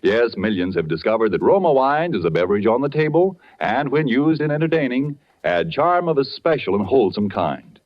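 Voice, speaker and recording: A man's voice: medium pitch, very animated, a little fast, few pauses. A man announcing a scripted 1940s radio commercial in a polished, formal sales style. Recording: dull vintage radio broadcast, music behind. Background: music.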